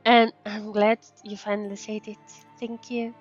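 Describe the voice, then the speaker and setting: Slow, halting non-native English woman streamer. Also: medium pitch, flat delivery. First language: not English. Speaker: VTuber game streamer. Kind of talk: casual gameplay commentary, reading dialogue aloud. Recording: dull sound with faint music behind. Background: game music.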